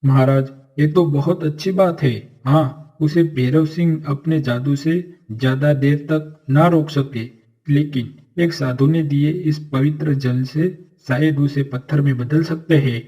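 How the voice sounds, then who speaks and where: A man acting a noble, earnest figure of a fairy-tale royal court, speaking formally and solemnly in an animated story. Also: mid-range voice, husky, highs cut off.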